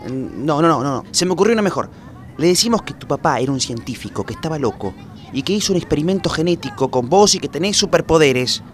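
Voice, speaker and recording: A man's voice: fairly high pitch, animated, quick pace, soft background music, lightly acted. A man playing a bumbling, childish detective in a comedy radio drama, with an Argentine accent and a hesitant, rambling delivery. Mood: nervous scheming. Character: bumbling, childish young man detective, nervous schemer and corny romantic.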